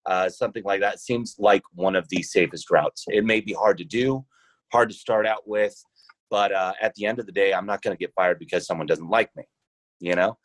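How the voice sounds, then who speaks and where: A man speaking as a podcast guest, thinking aloud deliberately in a conversational interview. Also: low-pitched, no room sound. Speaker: podcast guest, founder of a role-playing podcast.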